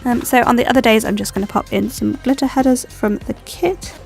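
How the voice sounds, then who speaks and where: A woman's voice: on the high side, fairly even intonation, a little fast, vocal fry, a little mellow, soft background music. A young woman with a stuffy cold, chatting and rambling with lots of ums as she decorates her planner. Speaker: planner vlogger. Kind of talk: chatty plan-with-me narration.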